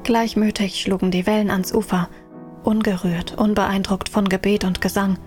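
A woman narrating a radio drama in a measured, descriptive manner. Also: mid-range voice, level tone, darkish tone, soft background music. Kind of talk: scripted third-person narration. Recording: dry close studio sound.

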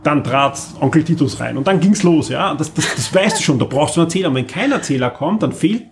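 A man co-hosts a podcast in casual, rambling talk, full of fillers and restarts. His voice has a gravelly edge at mid pitch. A faint noise sits under the recording. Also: animated, quick pace. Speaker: podcast co-host. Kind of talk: casual chat about radio plays.

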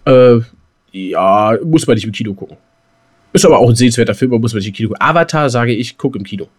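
Guy podcast host just chatting, slangy, medium pitch, bit of swearing. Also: animated, fast talker. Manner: colloquial slang with mild swearing. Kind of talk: casual chat, film talk.

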